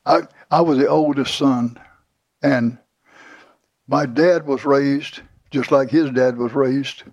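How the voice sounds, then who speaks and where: A man's voice: medium pitch, steady tone, takes it slowly, gravelly, a little mellow, dry recording, dull audio. An older man telling his life story in an interview, plainly and with many restarts. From Houston, Texas. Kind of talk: oral history interview.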